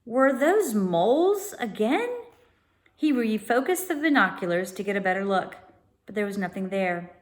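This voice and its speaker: A woman's voice: medium pitch, very animated, moderate pace. Woman narrator for children's read-aloud, mid-range voice, steps out informally to explain words.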